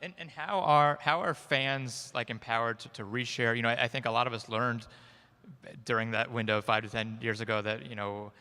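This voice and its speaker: A man's voice: mid-range voice, fairly even intonation, normal speed, sharp tone, smooth. A man moderating a conference panel, asking long, searching questions. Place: conference stage. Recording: long reverb of a conference hall.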